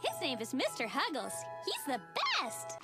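A woman voicing a relentlessly cheerful, naive little girl in a children's cartoon, squeaky, bubbly and gushing, with a sing-song delivery. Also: huge pitch swings, normal speed, full character voice. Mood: affectionate. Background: playful children's music.

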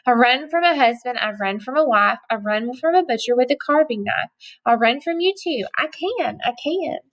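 A cheeky little gingerbread man from a storybook, acted out by a woman. The voice sits fairly high and taunts in a boastful, rhythmic chant. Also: expressive, normal speed. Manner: taunting sing-song chanting.

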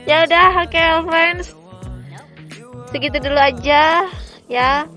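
A woman's voice: sits high, slow pace, sharp tone. A young woman hosting a travel vlog, chatting casually and playfully outdoors. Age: young adult. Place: outdoor terrace of a hillside cafe. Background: music.

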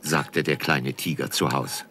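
A man with a gravelly voice narrating a scripted children's story. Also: a bass voice, fairly even intonation, measured pace, noisy background.

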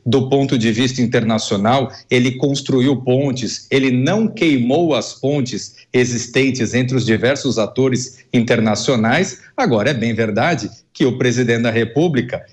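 A Brazilian man with a medium-pitched voice comments on politics. He lays out his analysis as a regular pundit on a video link. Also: darkish tone. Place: small room at home or office. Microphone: wired earphones on a video call.

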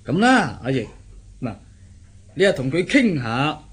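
Sly, husky Cantonese uncle. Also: male voice, a little high, very animated, measured pace, fairly bright tone, full character voice. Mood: scheming. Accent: Hong Kong Cantonese. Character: sly, chuckling middle-aged adviser and scheming uncle. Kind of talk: radio drama acting.

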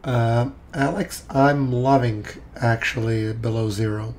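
A man livestreams a game on a headset, talking slowly at a medium pitch. He reacts to what he finds and drops small jokes, with faint noise behind. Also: steady tone, darkish tone. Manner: slow, reads names aloud, jokey. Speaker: livestreaming gamer. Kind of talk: casual game commentary. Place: home room indoors.

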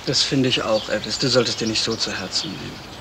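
An actor playing a gentle, nostalgic young man in a 1970s radio crime drama. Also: lowish voice, a little fast, creaky. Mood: gentle, wistful. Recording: noisy vintage radio play recording.

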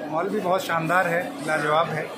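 A man's voice: on the high side, flat delivery, moderate pace, heavy background noise. A young man chatting casually to viewers as he walks through a busy shopping mall, filmed on a phone held at arm's length. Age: young adult. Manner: chatty, repeats himself, addresses viewers. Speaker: vlogger. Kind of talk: casual vlog narration. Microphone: phone camera at arm's length. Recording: phone vlog with loud music and crowd. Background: loud music and crowd.

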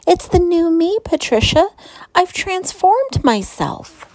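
A woman voicing a vain, excitable young female hamster in a children's storybook, gushing and dramatic. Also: very high-pitched, takes it slowly, no echo, pitched above the performer's own voice, full character voice, rough recording. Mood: proud, gushing.

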